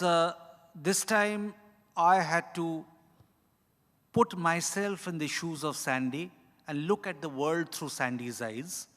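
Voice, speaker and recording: Male author, fairly high voice, deliberate phrasing, live talk in a reverberant hall. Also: pushed and bright. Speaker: author, guest speaker. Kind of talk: unscripted talk on stage about writing. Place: event hall at a hotel. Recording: echoey hall with long reverb.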